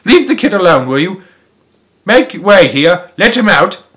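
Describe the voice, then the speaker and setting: A man voicing a fat, kind-hearted male shopkeeper who barks orders at a crowd and speaks warmly to a boy. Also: medium pitch, animated, a little fast, lightly acted. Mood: commanding. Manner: loud and commanding, then gentle whispering.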